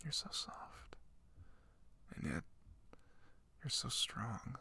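A man playing a shy, sweet young best friend in an ASMR roleplay, talking gently and hesitantly, close to the mic. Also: mid-range voice, very animated, drawn-out words, exaggerated voice. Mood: tender. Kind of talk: ASMR roleplay, soft intimate comforting talk. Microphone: close microphone.

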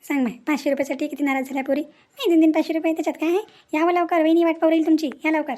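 A woman acting a soft-hearted, fretful village woman from a family cartoon. Her high voice runs quickly with little rise and fall. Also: little pitch movement, lightly acted. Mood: motherly. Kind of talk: cartoon family drama dialogue.

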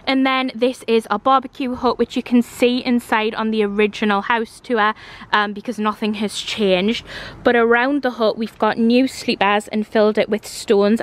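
Young Geordie woman, slightly high voice. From Newcastle, North East England. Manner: chatty and informal. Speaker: vlogger. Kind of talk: casual house tour narration. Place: walking through a house and garden. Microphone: handheld camera, held by speaker.

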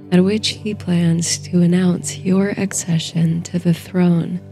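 Female sleep-story narrator. Very mellow and even, soothing and soft, faint music beneath. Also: lowish voice, vocal fry. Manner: soothing, hushed, unhurried delivery. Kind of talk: slow bedtime story narration, guided meditation.

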